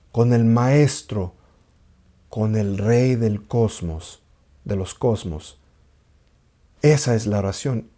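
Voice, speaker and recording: A man's voice: mid-range voice, warm tone. A man teaching as an Orthodox priest, raised in an English-speaking country, speaking earnestly, repeating phrases for emphasis and slipping in English words. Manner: halting, apologetic about his Spanish. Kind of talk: spiritual teaching talk.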